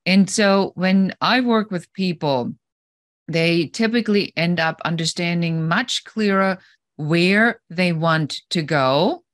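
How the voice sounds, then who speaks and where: A woman's voice: fairly low pitch, takes it slowly, no echo, clean studio sound. A woman with a German accent, a business coach answering interview questions in a direct, straightforward way and explaining with everyday examples.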